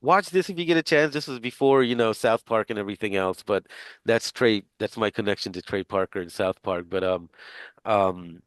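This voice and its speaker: Middle-aged male teacher, low smooth voice, rambling and casual on a video call. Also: normal speed, dry recording. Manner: rambling, many fillers and restarts. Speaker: instructor. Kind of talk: casual class introductions.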